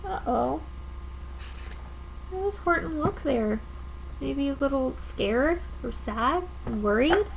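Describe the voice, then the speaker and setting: A woman reading a story aloud to children in an expressive teacherly style. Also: sits high, takes it slowly, vocal fry, pauses often. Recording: faint background noise.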